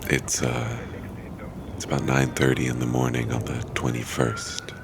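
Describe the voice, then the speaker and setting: A hoarse man who has lost his voice, recording a halting, groggy field memo outdoors in a city. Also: very deep voice, little pitch movement. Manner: halting, groggy, repeated restarts. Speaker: podcast host recording a field diary. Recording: handheld field recorder with loud street noise.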